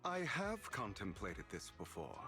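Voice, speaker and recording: Theatrical, teasing low-voiced young rogue. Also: male voice, huge pitch swings, a little slow, bright, forceful tone, heavily acted. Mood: reflective, earnest. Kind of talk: scripted game story dialogue. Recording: music behind the voice.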